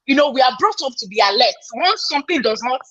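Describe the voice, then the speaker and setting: A woman's voice: sits high, expressive, quick pace, fairly bright tone, no room sound, highs cut off. A Nigerian woman hosting a casual live podcast, chatty, reading out viewer comments and exclaiming. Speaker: podcast host.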